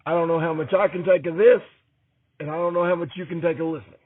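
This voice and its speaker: A man hosts a podcast in a raspy, worn-out voice that sits a bit high. He speaks plainly and haltingly, apologizing to his listeners. The sound is thin and phone-like, very dry and close. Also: fairly even intonation. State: hoarse, voice nearly gone from illness. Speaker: podcast host. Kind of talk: casual apologetic talk to listeners. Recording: muffled, phone-like narrow sound.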